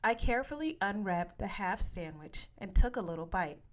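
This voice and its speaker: A woman reads a story in the first person, as for an audiobook. Her voice sits at a middle pitch, and her delivery is expressive and narrative. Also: normal speed. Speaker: audiobook reader.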